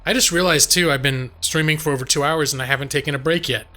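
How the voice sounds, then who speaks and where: A slightly hoarse man streaming a game live, chatting casually and ramblingly to his viewers. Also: on the high side, fast, bright, forceful tone. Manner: rambling, conversational, addresses chat directly. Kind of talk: casual chat with viewers while gaming. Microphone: close microphone on a boom arm.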